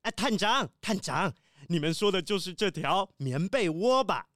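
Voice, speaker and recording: A man's voice: high-pitched, expressive, moderate pace, a bit bright, dry recording, clean studio sound, voice pushed up. A man voicing a cheerful, eager police squad captain in a children's audio drama. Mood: chirpy.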